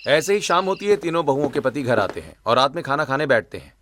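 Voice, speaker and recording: A man's voice: medium pitch, big pitch swings, fast talker, fairly bright tone, faint music behind. A man narrating a scripted cartoon story.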